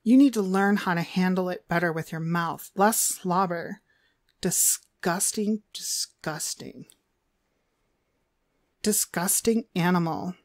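A woman playing a cruel, domineering demonic dog trainer in an ASMR roleplay, haughty and scolding with drawn-out emphasis. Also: lowish voice, no echo, clean studio sound. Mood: disgusted, scornful.

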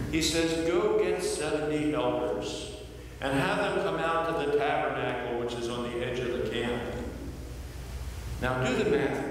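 Older pastor preaching in echoey sanctuary. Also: male voice, mid-range voice, a little hiss or hum. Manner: storytelling with playful asides and lively voiced quotes. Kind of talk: sermon. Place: large church sanctuary. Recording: echoey hall from far off.

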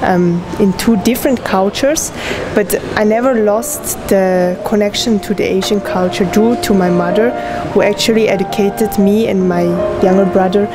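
Young woman pianist, from Switzerland, whose first language is Hokkien. Reflective and searching for words, in an interview over clear piano music. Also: mid-range voice, fairly even intonation, moderate pace. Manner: thoughtful, searching for words, with restarts. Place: indoor room with piano and plants. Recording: piano music playing underneath.

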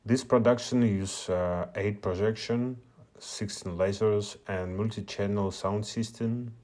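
A man's voice: fairly low pitch, fairly even intonation, a little slow, a little mellow. A man, a non-native English speaker whose first language is Russian, giving a hesitant screen-share tutorial at a meetup with many filler sounds. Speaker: media artist presenting at a meetup.

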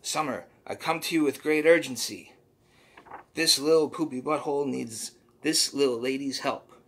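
A man's voice: medium pitch, normal speed, gravelly, a bit bright, a little hiss or hum, pitched above the performer's own voice. A man voicing a cheery, folksy little male poop creature from a comic, who keeps saying "ooh-wee.". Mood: earnest.

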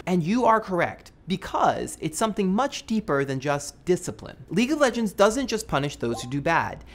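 A man's voice: sits high, slight background noise. An American man in his thirties delivers a scripted video essay to camera as a host, with an emphatic, wry, conversational delivery. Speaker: web show host. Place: small studio set indoors. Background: music bed.

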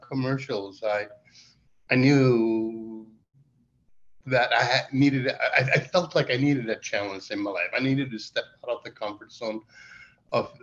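A middle-aged man, telling stories in a relaxed, halting way full of fillers on a video call. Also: medium pitch. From Iceland. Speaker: documentary director. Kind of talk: casual interview answers. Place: indoor room with plain wall, video call. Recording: dull lo-fi video call audio.

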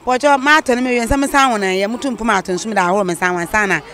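A woman's voice: on the high side, rapid-fire, a bit bright. A middle-aged woman answering at her market stall, matter-of-fact. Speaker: market trader. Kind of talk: street interview answers. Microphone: handheld mic held by interviewer.

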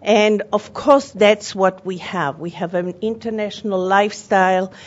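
A woman's voice: mid-range voice, unhurried. A woman with a foreign accent, born in Israel and raised in Europe and Australia, giving an earnest, personal talk as a panelist and television network owner. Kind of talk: panel presentation. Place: conference room at a workshop. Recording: dull lo-fi conference audio with faint hum.

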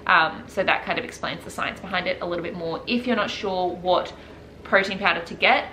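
A woman's voice: lowish voice, fairly even intonation, brisk, bright, forceful tone. A woman chatting casually to camera as a health vlogger, recorded indoors at home. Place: indoors in a home kitchen and living room. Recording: roomy echo with clear background noise.

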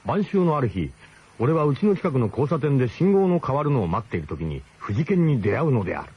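A man's voice: medium pitch, big pitch swings, fairly quick, a little mellow, breathy edge. An actor narrating a radio drama as a wry, nostalgic adventurer looking back on his gang. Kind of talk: scripted first-person radio drama narration.

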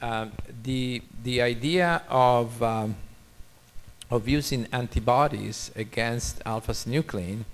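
A man's voice: medium pitch, slow pace. A man from Italy with an Italian accent, a doctor answering questions informally on a panel, speaking into a handheld microphone. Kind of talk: informal expert Q&A. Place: auditorium stage.

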